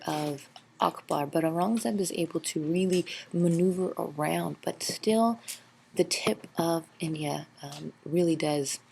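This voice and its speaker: A woman with a low, gravelly voice narrates a history review over slides in a patient, explanatory teaching manner. She fills her pauses with ums and uhs. A faint hiss sits under the recording. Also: darkish tone. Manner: explanatory, filler-heavy with frequent um and uh.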